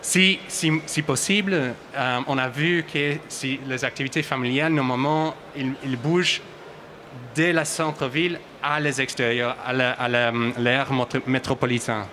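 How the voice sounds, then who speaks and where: An Australian man, English his first language, speaking on a conference panel in a bright, forward voice. He stumbles and restarts as he reaches for words, and a faint hum of the hall sits behind him. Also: mid-range voice, fairly even intonation. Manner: halting, searching for words, many restarts and fillers. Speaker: researcher and panelist.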